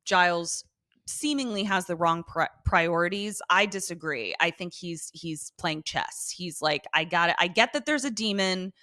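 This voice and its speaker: A woman's voice: on the low side, moderate pace, bright, forceful tone, no room sound, clean studio sound. A woman co-hosting a casual TV recap chat, talking in slangy, sweary, informal language. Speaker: podcast co-host.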